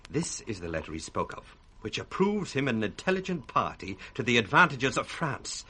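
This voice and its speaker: Treacherous son feigning sorrow, husky, middle-pitched, theatrically expressive, vintage radio drama. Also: male voice, moderate pace, heavily acted. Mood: false lament. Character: scheming false son, feigning pious sorrow. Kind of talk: 1960s Shakespearean radio acting.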